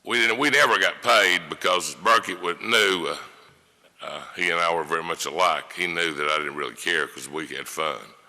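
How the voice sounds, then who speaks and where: A man giving an informal eulogy, telling folksy anecdotes in a halting way to a gathering in a large hall. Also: low voice, bright, forceful tone. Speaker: criminal defense lawyer giving a eulogy. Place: large indoor hall before an audience. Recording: echoey hall with long reverb.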